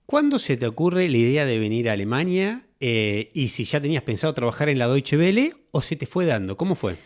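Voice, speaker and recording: A man's voice: medium pitch, big pitch swings, brisk. A man with a Rioplatense Spanish accent, hosting a podcast and interviewing in a conversational way. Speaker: podcast host.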